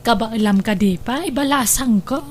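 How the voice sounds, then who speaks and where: A woman's voice: fairly high pitch, normal speed, close to an everyday voice. A woman acting a caring mother-in-law who frets over her wayward son in an Ilocano radio drama, with a Filipino Ilocano accent. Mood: caring, reassuring.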